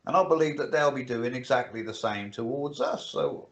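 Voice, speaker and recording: A man's voice: mid-range voice, moderate pace. Plain-spoken man in his fifties. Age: 50s. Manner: plain-spoken, casual swearing. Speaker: livestream co-host. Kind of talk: casual panel chat.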